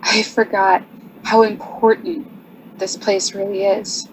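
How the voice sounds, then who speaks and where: An actress playing an earnest, shy young married artist in a radio drama, speaking hesitantly and apologetically. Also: female voice, mid-range voice, flat delivery, takes it slowly, slight background noise, natural voice, rough recording. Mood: troubled.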